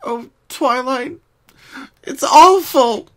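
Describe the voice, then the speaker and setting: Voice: high-pitched, extremely slow, fairly bright tone, voice pushed up, exaggerated voice, low-quality recording. A performer voicing a frightened, exhausted young female pony with a quivering, pleading voice in a cartoon fan reading. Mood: panicked. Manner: whiny, dramatic, pleading.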